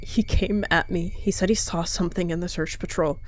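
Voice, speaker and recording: A woman voicing a secretive she-cat warrior who speaks in a tense, hushed, defensive way. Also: lowish voice, steady tone, normal speed, slight background noise, lightly acted. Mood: shaken.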